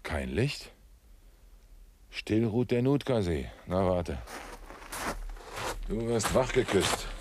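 A man's voice: on the low side, very animated, slow, long pauses, a little mellow, a little hiss or hum. An actor in a radio drama playing a cynical, world-weary male agent who mutters in clipped, sardonic phrases. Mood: uneasy, muttering.

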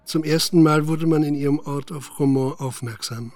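A man narrating a radio play in a measured, matter-of-fact literary style. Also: fairly high pitch, steady tone, a little mellow, a little hiss or hum, lightly acted. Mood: measured, sober. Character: sober male narrator recounting a village scandal.

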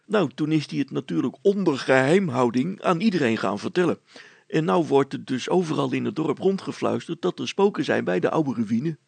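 A man's voice: a little high, lively intonation, normal speed, creaky, breathy edge, no room sound, voice pushed up, heavily acted. A man voicing a sly, cheerful village poacher, chatty, folksy and mischievous in storybook dialogue.

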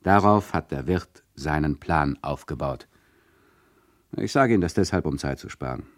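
An actor playing a wry, deadpan narrator who explains a radio play in a mock-instructive manner. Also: male voice, a bass voice, moderate pace, no echo, studio quality. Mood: explanatory.

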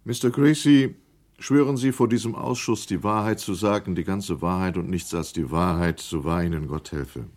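The chairman of an official inquiry in a documentary radio play. His male voice is low. He questions witnesses formally and with courtesy, in the manner of a considerate official. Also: moderate pace, a little mellow. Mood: formal, courteous. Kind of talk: documentary radio drama hearing.

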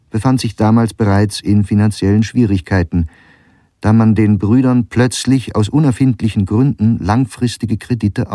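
A man reading scripted documentary narration in a measured, factual manner. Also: on the low side, warm tone, no echo, clean studio sound.

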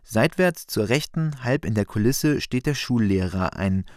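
A man's voice: mid-range voice, expressive, a little slow, a little mellow, no echo. Male radio play narrator, very dry. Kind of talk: scripted radio play narration of stage directions.

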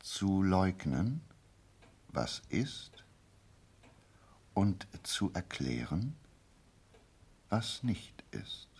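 An actor playing a shrewd, wry, self-assured detective in a German radio play, with a husky voice. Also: male voice, low-pitched, very animated, very slow, long pauses, darkish tone, exaggerated voice. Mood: wry, satisfied.

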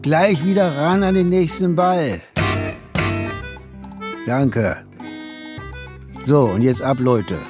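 A man's voice: a little high, slow, long pauses, music in the background. An actor playing an avuncular, jovial police chief who rallies his team in a radio crime drama. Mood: encouraging.

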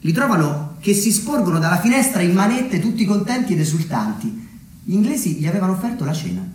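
A man delivering a theatrical narrative monologue, deliberately, with dramatic pauses and emphasis. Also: high voice, sing-song, darkish tone. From Umbria, Italy. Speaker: solo theatre storyteller. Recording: roomy echo with background noise.

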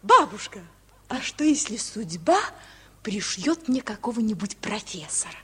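A woman's voice: medium pitch, very animated, a little slow, pushed and bright, slightly rough, exaggerated voice. An actress playing a carefree, teasing eighteen-year-old village girl in a playful, wheedling radio comedy style. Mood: playful, coaxing. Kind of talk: 1950s radio musical comedy acting.

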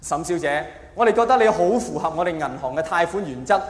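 A man with a Hong Kong Cantonese accent playing a courteous, officious bank loan officer in a comedy routine, asking formal, measured questions. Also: on the high side, animated, close to an everyday voice. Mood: polite, probing. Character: polite, officious male bank loan officer interviewing a client. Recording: echoey hall, faint background noise.

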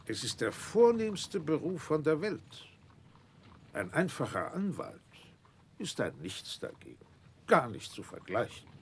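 Pompous gentleman proctor, creaky, animated pitch, self-satisfied, formal, vintage radio play with faint noise. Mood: smug, lofty. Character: pompous, class-proud widowed gentleman proctor, courteous employer. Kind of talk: 1960s German radio drama acting.

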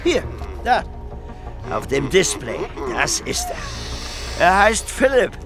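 An actor playing a sly, mocking taxi driver who tempts like a devil, insinuating and teasing, in a radio crime drama. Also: male voice, high voice, wildly swooping pitch, full character voice. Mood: tempting. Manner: insinuating, teasing, drawn-out delivery. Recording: music behind the voice.

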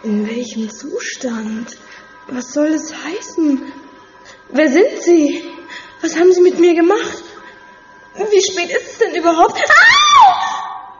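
A woman's voice: very high voice, sing-song, slow pace, pauses often, a bit bright, shouting, full character voice. An actress playing a terrified young woman held captive in a horror audio drama, frantic and defiant. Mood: frightened, panicking. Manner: frantic, emotional. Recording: dull sound in a long echoing hall.

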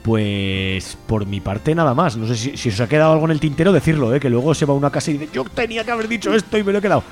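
A man's voice: on the high side, expressive, fast talker, soft background music. A man with an accent from Spain, presenting a radio show in a conversational way. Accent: Spanish from Spain.

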